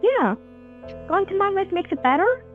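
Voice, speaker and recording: A woman's voice: very high-pitched, big pitch swings, moderate pace, phone-quality sound, faint music behind. A woman voicing an earnest, aggrieved young teen boy hero in a fantasy audio drama, emotional and a little whiny. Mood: casual, sheepish.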